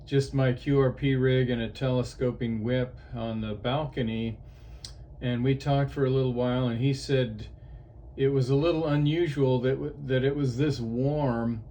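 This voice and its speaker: A man from Ohio chatting on ham radio from a portable outdoor setup, unhurried and halting with frequent fillers. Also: medium pitch, fairly even intonation, dark tone. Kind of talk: casual radio chat.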